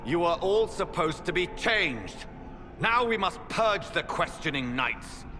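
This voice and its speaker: Fanatical knight captain in cavernous reverb. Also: male voice, a little high, sing-song, a little slow, bright, forceful tone, slight background noise, full character voice. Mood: fervent, menacing. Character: fanatical, unhinged male knight captain serving dark master. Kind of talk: dramatic video game villain acting. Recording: long hall reverb, music behind.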